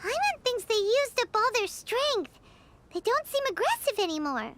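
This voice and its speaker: A tiny, excitable companion sprite with a piercing, very high voice that leaps all over the place. She chirps out exclamations and refers to herself in the third person, over soft game music. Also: moderate pace, a little hiss or hum, exaggerated voice. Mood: excited.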